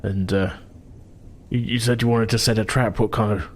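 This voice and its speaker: A man's voice: on the low side, steady tone, moderate pace, a little hiss or hum, close to an everyday voice. Man playing a flustered, stammering dwarf manager. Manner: stammering, fretful. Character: flustered male dwarf opera house manager, anxious, deferential.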